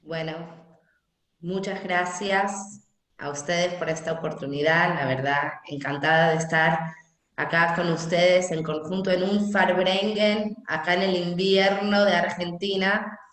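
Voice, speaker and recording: A young woman with a Venezuelan accent, telling her personal story in an unhurried, conversational way as a guest speaker on a video call. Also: fairly low pitch, steady tone, fairly bright tone. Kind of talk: personal talk at an online gathering. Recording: echoey room on a video call.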